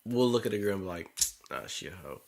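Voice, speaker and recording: A man's voice: deep voice, lively intonation, fast, vocal fry, a little mellow. A man chatting casually on a podcast as a co-host, blunt and profane in his slang.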